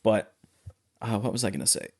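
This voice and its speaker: A man's voice: lowish voice, expressive, a little fast, gravelly, a little mellow, slightly rough. A man co-hosting a podcast, discussing film in a casual, explanatory way. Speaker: podcast co-host.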